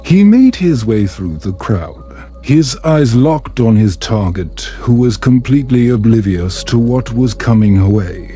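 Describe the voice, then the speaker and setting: A man reads a bedtime tale at an unhurried pace, his tone soft-edged and mellow. The delivery is calm, soothing and measured, like scripted storytelling meant for sleep, with music playing underneath. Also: mid-range voice. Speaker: storyteller narrator.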